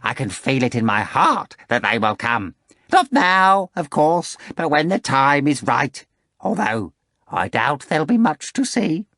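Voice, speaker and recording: A fussy, fawning servant gushes and whines, as a male narrator puts him on, his voice climbing high in excitement. He wheedles with exaggerated courtesy. The sound is close and dry. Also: measured pace, no room sound, full character voice. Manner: whining, excitable, gushing.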